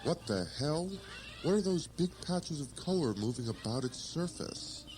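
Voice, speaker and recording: Man playing an animated, earnest starship officer. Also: fairly high pitch, unhurried. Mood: earnest alarm. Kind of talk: hobby sci-fi parody sketch. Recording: music behind the voice.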